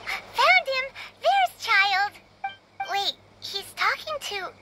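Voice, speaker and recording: A woman voicing a tiny chirpy fairy girl companion in a video game, squeaky and childlike, referring to herself by name. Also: very slow, background noise, exaggerated voice. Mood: excited. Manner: speaks of herself in third person, expressive. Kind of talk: video game cartoon voice acting. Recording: game music under the voice.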